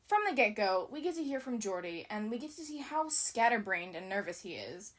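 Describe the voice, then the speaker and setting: A woman's voice: mid-range voice, big pitch swings, fairly quick. A woman giving scripted analysis commentary as a video essayist, with casual asides.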